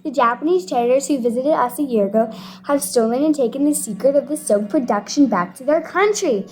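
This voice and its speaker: A woman's voice: sits high, a little fast, darkish tone, natural voice. A woman playing an emperor's deferential assistant in an audio play, announcing things formally. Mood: alarmed.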